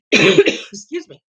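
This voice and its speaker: A woman's voice: high voice, bright, forceful tone. A tired woman with a Southern American accent, chatting casually to camera on her baking vlog, rambling with lots of ums. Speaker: home baker and vlogger.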